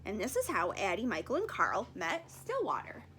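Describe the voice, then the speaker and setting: Lady reading a kids book out loud, expressive, up and down pitch, some background noise. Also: moderate pace. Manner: expressive storytelling. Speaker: storybook reader. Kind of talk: children's picture book read-aloud.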